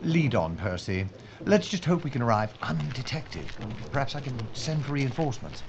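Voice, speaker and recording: An actor playing an earnest police inspector in a wartime audio drama, speaking formally and deliberately. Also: male voice, mid-range voice, lively intonation, gravelly. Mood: resolute. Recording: dull lo-fi sound, faint noise.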